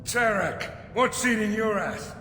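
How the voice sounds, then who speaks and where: An actor playing a brash, unfazed, foul-mouthed freelance mercenary in a video game, blunt and sardonic. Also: male voice, high voice, animated, a little slow, sharp tone, faint music behind, heavily acted. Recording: roomy hall reverb, noisy ambience.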